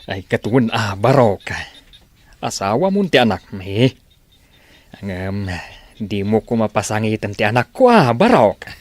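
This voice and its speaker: An actor playing a fond, protective middle-aged father in a radio drama, speaking haltingly as he admonishes. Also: fairly low pitch, big pitch swings, no echo. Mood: solemn, pleading.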